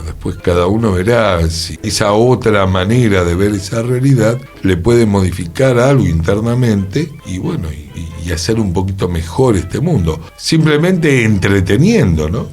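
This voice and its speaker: Male radio co-host, deep pitch, Argentine accent, thoughtful measured delivery, soft music behind. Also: lively intonation. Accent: Argentine, Rioplatense. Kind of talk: reflective radio conversation.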